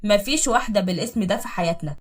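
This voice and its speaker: A woman's voice: mid-range voice, animated, very fast, distant mic, natural voice. A woman voicing a furious jealous husband with an Egyptian accent. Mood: cold, curt. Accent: Egyptian Arabic. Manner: harsh, shouting accusations. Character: angry jealous husband, middle-aged man.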